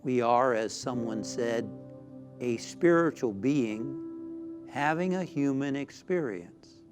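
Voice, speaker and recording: A man, a minister, leading a spiritual guided meditation in a soothing, deliberate way with frequent pauses. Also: mid-range voice, lively intonation. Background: background music.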